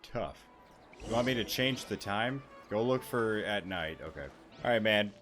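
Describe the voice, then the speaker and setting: Male gaming streamer, lowish but bright, forceful voice, offhand slangy asides. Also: expressive, measured pace, faint music behind. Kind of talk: casual gameplay commentary.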